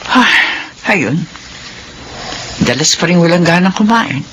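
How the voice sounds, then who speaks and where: A woman's voice: lowish voice, lively intonation, slow pace, fairly bright tone. An actress playing a weary, long-suffering middle-aged Filipino mother in a radio drama. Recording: noisy radio drama recording.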